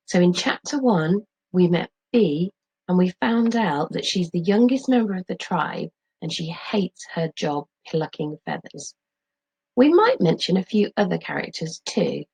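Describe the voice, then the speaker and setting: A middle-aged woman speaking as a teacher, explaining and reading aloud in a clear, instructive way with expressive phrasing. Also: lowish voice, low-quality recording.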